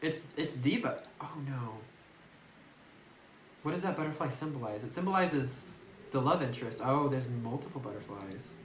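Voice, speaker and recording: A man's voice: mid-range voice, animated, moderate pace. A man commentating a horror game as he plays, musing and getting spooked, thinking aloud with plenty of fillers. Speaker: gameplay streamer. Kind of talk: let's play commentary, reading game text aloud. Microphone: phone recording away from the speaker. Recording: echoey room, distant phone mic, faint noise.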